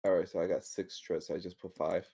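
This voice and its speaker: Man, low creaky voice, casual player chat, tentative, thinking aloud. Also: level tone, fairly quick, dark tone. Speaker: player in a tabletop game.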